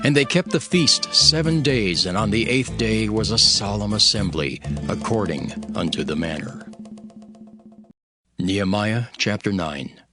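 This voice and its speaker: Bright, deep-voiced solemn male narrator. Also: animated. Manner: solemn, measured. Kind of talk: scripted scripture narration. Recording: faint music under the voice.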